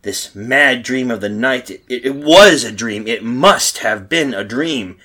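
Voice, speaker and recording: Male actor as frightened man in a radio horror play, halting, stammering, husky, highly animated, dramatic first-person narration. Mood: dazed, haunted narration. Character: frightened, bewildered man stranded in a nightmare world. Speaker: actor narrating and playing the lead.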